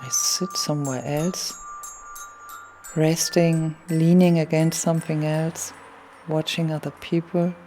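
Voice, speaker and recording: A woman playing a weary former punk singer, giving a measured, reflective monologue in a radio play. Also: sits low, fairly even intonation, mellow, soft background music.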